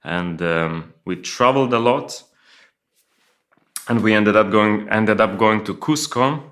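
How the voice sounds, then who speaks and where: A middle-aged man from Lithuania, telling his life story as an interview guest with many pauses, fillers and restarts. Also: on the low side, fairly bright tone. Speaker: guest sharing testimony. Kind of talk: personal testimony in an interview.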